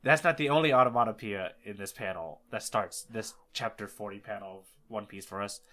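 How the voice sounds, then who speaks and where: Bright-voiced man chatting on a podcast. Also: fairly low pitch, brisk. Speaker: podcast co-host. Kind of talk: casual podcast chat.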